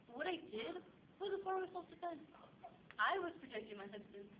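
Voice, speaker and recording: Young woman acting anxious protective mother, high-pitched. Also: animated, a little fast. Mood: earnest, anxious. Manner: spells out words letter by letter, earnest. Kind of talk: theatrical monologue. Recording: dull, noisy amateur recording with low rumble.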